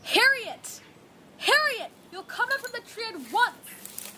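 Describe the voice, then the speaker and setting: A young woman playing a fussy, strict wife and mother in a high school radio drama. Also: very animated, takes it slowly, very noisy, heavily acted. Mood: shouting crossly.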